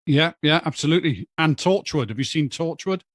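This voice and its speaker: A man, a guest on a podcast, speaking in a measured, thoughtful way with hesitant starts. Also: fairly high pitch, lively intonation, dry recording, studio quality. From Wales. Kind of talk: casual podcast chat.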